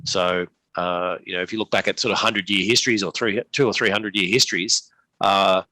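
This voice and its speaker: A man with a lowish, bright voice talks through market analysis as an interview guest. His delivery is unscripted and halting, with repeated words and restarts, and the sound is close and dry. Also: fairly even intonation, no room sound, low-quality recording. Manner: halting, repetitive phrasing with many restarts. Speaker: investment manager, interview guest. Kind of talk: unscripted market commentary in a live Q&A.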